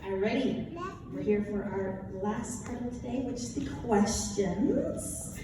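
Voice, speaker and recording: A woman's voice: a little high, wildly swooping pitch, takes it slowly, very noisy. A woman hosting a pageant question round as emcee, upbeat and cheering on the contestants. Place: large hall. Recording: echoey hall from far off, loud crowd.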